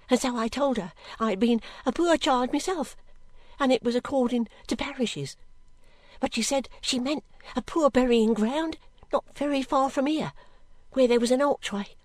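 A wretched young servant girl from a storybook. The voice is very high and close and dry, plaintive and pleading, anxious to please and easily frightened. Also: unhurried, a little mellow, dry recording. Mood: piteous, earnest. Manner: plaintive, pleading, breathlessly repetitive. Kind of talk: audiobook character acting.

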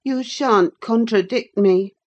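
A woman's voice: on the high side, slow, dull audio, voice pushed up. A woman voicing a sickly, spoiled boy in an audiobook, petulant, needy and spiteful. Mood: spiteful, taunting. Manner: petulant, wheedling. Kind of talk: dramatised audiobook reading of a classic novel.

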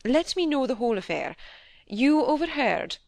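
A woman reading an audiobook, playing a stern middle-aged husband who questions gravely, with clipped insistence. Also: high-pitched, very animated, moderate pace, darkish tone, no echo, pitched above the performer's own voice, full character voice. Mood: cold, exasperated.

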